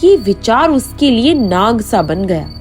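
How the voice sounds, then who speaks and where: A woman narrates a moral folk tale in a dramatic, scripted style. Her voice is fairly high and very unhurried, with soft music underneath. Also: sing-song. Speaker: story narrator. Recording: faint music behind the voice.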